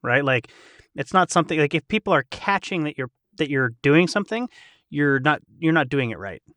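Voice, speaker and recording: A man with a slightly high voice chats casually among fellow cast members. His sound is dry, with no room around it. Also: animated, brisk, fairly bright tone, no room sound. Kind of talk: casual panel banter.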